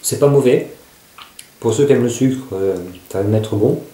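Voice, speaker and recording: A man's voice: medium pitch, normal speed, mellow. A man casually reviewing survival gear to camera. He often hesitates and searches for his words. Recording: echoey room.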